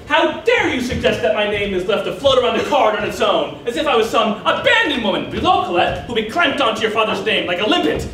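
A man's voice: very high voice, very animated, quick pace, pushed and bright, heavily acted. A man voicing an aggrieved, self-pitying older mother who guilt-trips her son, indignant and haughty, in a stage monologue. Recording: echoey room, distant mic, faint noise.

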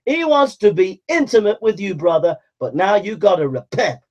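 High-voiced man preaching fire and repentance. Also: normal speed, highs cut off. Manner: exhorting, repetitive, mocking mimicry of flattery. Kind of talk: fiery sermon.

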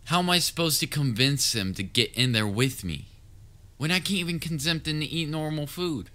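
A man's voice: a little high, lively intonation, moderate pace, bright, forceful tone. A man playing a patient, sometimes exasperated but caring young babysitter in a roleplay audio drama, talking in a casual, coaxing way. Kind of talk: roleplay audio drama, speaking directly to listener.

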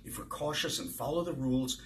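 A man in his sixties reading the part of a hopeful, gentle baker who soothes others, from a novel. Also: medium pitch, a little fast, a little hiss or hum, voice pushed up, close to an everyday voice. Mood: placating.

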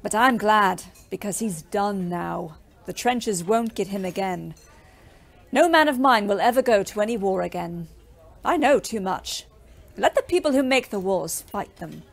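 A woman's voice: mid-range voice, expressive, a little slow, gravelly. An actress playing an earnest, outspoken young woman ambulance driver in a war audio play, intense and blunt. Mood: defiant, bitter. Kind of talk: audio drama acting.

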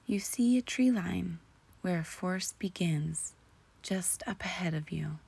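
Female meditation guide: very slow, soothing delivery, soft mellow tone, breathy and near-whispered. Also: fairly low pitch. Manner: soothing, slow and deliberate with long pauses. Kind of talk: guided imagery relaxation narration.

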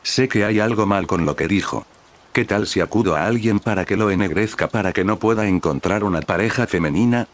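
A man reading as a calm teasing young wizard. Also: fairly low pitch, a little fast, lightly acted.

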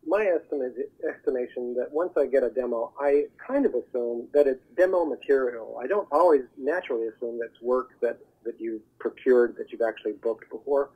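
A man giving explanatory advice as an interview guest over the telephone, haltingly searching for his words. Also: mid-range voice, breathy edge. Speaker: guest, voiceover agent. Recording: muffled narrowband phone line.

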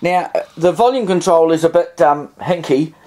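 A man's voice: fairly high pitch, normal speed, a little mellow. A man giving a casual, unscripted demonstration in a hesitant, rambling way with wry asides. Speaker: vintage radio hobbyist demonstrating.

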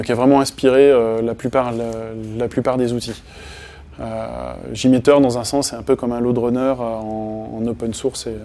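A man's voice: mid-range voice, dark tone. A man in his thirties giving a technical talk to a small audience, casual, with many hesitations and restarts. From France. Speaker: conference speaker. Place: meeting room with projector and small audience.

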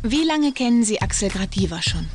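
A woman's voice: mid-range voice, expressive, fairly quick, over music, close to an everyday voice. An actress playing a curious, caring teenage girl detective in a cartoon adventure game, earnest and inquisitive.

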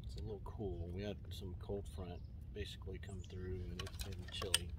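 A man's voice: low-pitched, little pitch movement, fairly quick. A man, chatting casually and rambling a bit in an easygoing, self-deprecating fishing vlog. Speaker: fishing vlogger. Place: inside a parked car; outdoors on a kayak on a lake. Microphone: phone camera at arm's length; camera mounted in front of a kayak. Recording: distant, dull mic with loud wind and water noise.

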